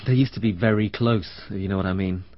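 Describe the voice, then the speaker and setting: An actor playing a gossipy, confiding local in a radio drama. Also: male voice, fairly low pitch, quick pace, dry recording, lightly acted. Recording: dull dry radio drama recording.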